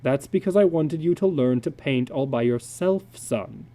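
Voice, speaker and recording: Anxious, defensive dad in a storybook tale, done by a narrator; earnest, very dark soft tone, animated pitch. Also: measured pace, lightly acted. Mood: stern. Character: George Hadley, worried, defensive father.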